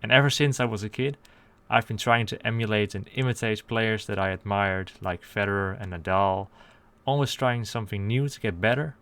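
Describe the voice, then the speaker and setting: A man's voice: lowish voice, fairly bright tone, clean tone. A man in his twenties from the Netherlands, Dutch accent, giving an earnest, carefully hedged monologue as a channel host. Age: 20s. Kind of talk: scripted monologue.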